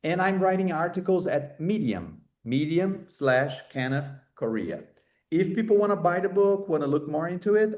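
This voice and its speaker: A man's voice: on the high side, a little mellow. A man who is a native Portuguese speaker, explaining his points conversationally as an interview guest. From Brazil or another developing Portuguese-speaking country. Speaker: guest expert and author.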